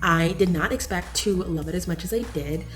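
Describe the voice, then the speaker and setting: Lower-pitched woman gushing about books. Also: normal speed, faint music behind. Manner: gushing, candid, emphatic. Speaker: book reviewer vlogger. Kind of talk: casual chatty book review to camera.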